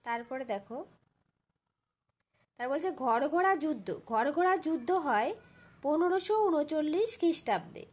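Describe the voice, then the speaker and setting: High-pitched woman teacher. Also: expressive, unhurried, long pauses. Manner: instructional, frequent checking tag questions. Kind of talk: exam question walkthrough for school pupils.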